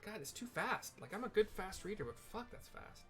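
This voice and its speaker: A man's voice: sits high, sing-song, moderate pace, faint music behind. A man giving casual, rambling gameplay commentary, explaining and describing what happens as he plays. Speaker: video game streamer and narrator.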